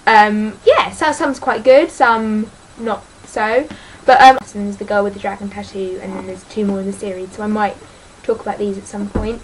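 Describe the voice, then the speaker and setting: A woman's voice: mid-range voice, fairly even intonation, moderate pace, hardly any pauses, a little hiss or hum, rough recording. A teenage girl chatting casually about books in a vlog, rambling and hesitant, full of ums and sort-ofs, self-deprecating. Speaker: book vlogger.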